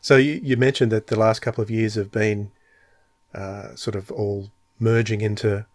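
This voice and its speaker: A man's voice: lowish voice, level tone, normal speed, no room sound. A man hosting a casual interview, chatting and putting questions to a guest. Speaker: interview podcast host.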